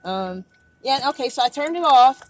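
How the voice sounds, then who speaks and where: A woman's voice: high-pitched, animated, normal speed. A woman talking from inside her car into a phone recording, anxious and rambling, stammering and repeating herself deferentially. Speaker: driver recording a police stop.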